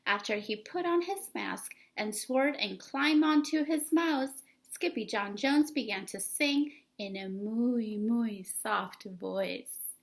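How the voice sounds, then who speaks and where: A woman reads a storybook aloud for children in a high voice. Her delivery is slow, deliberate and expressive. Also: gravelly. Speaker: storybook reader.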